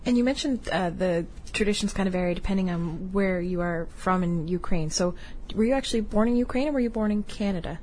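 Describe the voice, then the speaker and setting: A woman's voice: mid-range voice, a little fast, darkish tone, a little hiss or hum. A woman interviewing a guest, asking brief, friendly questions.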